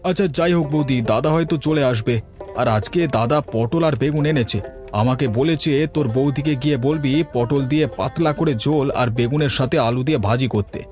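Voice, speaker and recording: A man's voice: medium pitch, moderate pace, darkish tone, close to an everyday voice. Man acting chatty village man, music behind. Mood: easygoing, chatty. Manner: colloquial, chatty. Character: village men: elder headman, easygoing bachelor neighbour. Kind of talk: Bengali cartoon fairy-tale voice acting. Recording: music under the voice.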